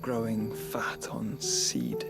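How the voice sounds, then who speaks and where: Slow-spoken, solemn young nobleman. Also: lowish voice, very animated, gravelly, over loud music, heavily acted. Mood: solemn, brooding. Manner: formal, measured, eloquent. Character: frail, noble young man, gentle and solemn. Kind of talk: dramatic video game voice acting.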